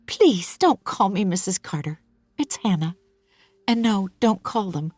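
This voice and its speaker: A frightened young mother spills out breathless, pleading lines, as a woman narrator puts her on. Her voice climbs high and wavers with nerves. The recording is dry and close. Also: lively intonation, unhurried, a little mellow, no room sound, soft background music. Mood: grateful. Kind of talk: audiobook character voice.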